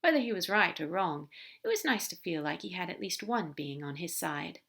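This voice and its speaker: A woman reading a fan story aloud with a fairly low voice. Her narration is gentle and even, an audiobook-style read. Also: moderate pace, vocal fry. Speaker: podfic reader.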